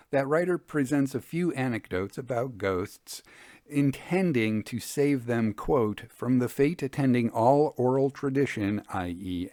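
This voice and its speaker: A man's voice: mid-range voice, dry recording. Man narrating old tales, dry close sound. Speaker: podcast host reading old ghost stories. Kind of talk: scripted narration and reading of Victorian ghost tales.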